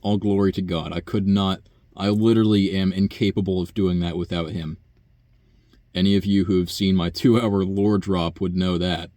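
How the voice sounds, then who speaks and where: A young man talking through a rambling, casual personal monologue, profane and full of fillers and sighs, with a low buzzy voice. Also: steady tone, moderate pace, dark tone, dry recording. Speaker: vlogger.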